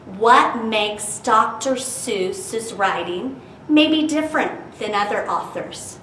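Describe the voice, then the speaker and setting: A woman's voice: fairly high pitch, expressive, slow, a bit bright. A woman hosting a library storytime, talking directly to children and prompting them with questions as she introduces and reads stories aloud. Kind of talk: children's storytime talk and expressive read-aloud. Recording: roomy, distant mic with faint hiss.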